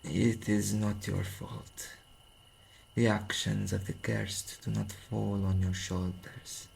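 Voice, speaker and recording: An actor playing an ancient, courteous vampire king in an audio drama, speaking formally and solemnly with a gravelly voice. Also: lowish voice, level tone, a little mellow, slight background noise, exaggerated voice, poor audio. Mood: solemn consolation. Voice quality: gravelly, creaky, breathy at times. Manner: formal, stately, unhurried. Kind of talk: narrative audio roleplay acting.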